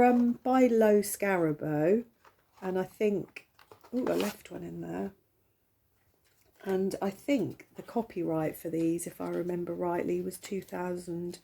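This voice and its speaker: A woman hosting a tarot vlog, talking casually in a croaky, hoarse voice, hesitant and rambling with many pauses. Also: on the low side, warm tone. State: hoarse, recovering from illness. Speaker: tarot channel host. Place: at home, seated.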